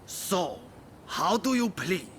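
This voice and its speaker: A stern official in a game drama. The voice sits fairly high and swings widely, haughty and commanding, with clear ambience behind it. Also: male voice, very animated, takes it slowly, a bit bright, slight background noise, heavily acted. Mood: stern, scornful. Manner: imperious, commanding. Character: stern, haughty shogunate official sitting in judgement. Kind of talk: video game cutscene acting.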